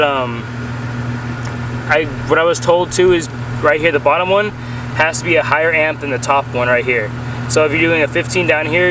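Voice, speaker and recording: A man giving casual hands-on tutorial talk, explaining step by step with informal caveats. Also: fairly high pitch. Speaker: friend demonstrating a CB radio install. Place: in and around a parked pickup truck. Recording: distant mic, clear noisy vehicle background.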